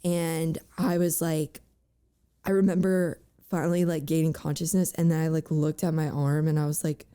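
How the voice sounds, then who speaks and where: A young woman talks in a candid, halting way, full of fillers and little restarts. Her voice is raspy and cracks often. It sits low for a woman and has a mellow, soft color. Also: little pitch movement. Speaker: guest. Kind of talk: candid personal interview.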